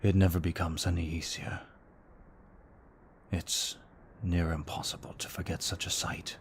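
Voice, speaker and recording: A man playing a gentle, remorseful vampire in an ASMR roleplay, speaking slowly and formally in a soft, breathy near-whisper. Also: low-pitched, level tone, slight background noise. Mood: haunted, sorrowful. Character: brooding remorseful male vampire, gentle and protective. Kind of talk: ASMR roleplay, scripted monologue.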